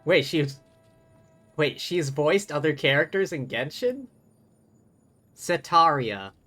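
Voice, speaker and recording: Male game streamer, fairly high register, casual and talkative, light music underneath. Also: lively intonation, slow pace, bright, forceful tone. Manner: chatty, thinking aloud. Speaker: game livestreamer. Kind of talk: casual live stream commentary.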